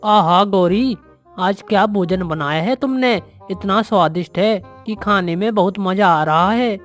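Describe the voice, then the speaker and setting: महिला द्वारा निभाया खाने का शौकीन कौवा पति, मध्यम आवाज़. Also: female voice, mid-range voice, a little slow, soft background music, natural voice. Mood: pleased. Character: male crow husband, food-loving, easygoing but entitled. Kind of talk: children's animated story acting.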